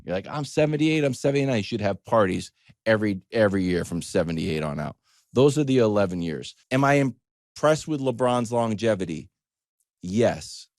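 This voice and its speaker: A man's voice: lowish voice, darkish tone, no room sound, clean studio sound. A middle-aged American man telling a story as a show host in a casual, wry comic monologue, acting out little quoted asides.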